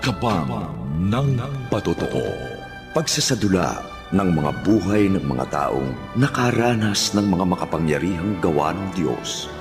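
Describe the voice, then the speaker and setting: A man with a low voice delivers a dramatic scripted introduction. His intonation swings widely and unhurriedly over prominent theme music. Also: sing-song, measured pace. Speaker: program announcer. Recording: loud theme music behind voice.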